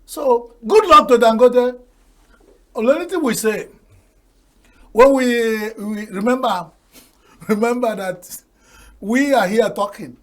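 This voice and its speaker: Man, older, Nigerian accent, Igbo native speaker, high voice, TV talk show guest, folksy, proverb-laden. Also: slow pace, long pauses. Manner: folksy, proverb-laden, laughs at his own jokes.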